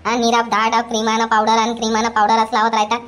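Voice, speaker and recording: A woman voicing a nagging, scolding old mother-in-law in a cartoon comedy, with a rapid ranting way of talking. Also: a little high, completely flat delivery, a bit bright, natural voice.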